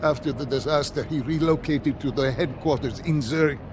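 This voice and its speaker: An actor playing a fearful, defensive doctor held captive, speaking haltingly and pleadingly in a video game. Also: male voice, fairly high pitch, noisy background, lightly acted. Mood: nervously defensive. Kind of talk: cinematic video game acting. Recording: music behind the voice. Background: cinematic music.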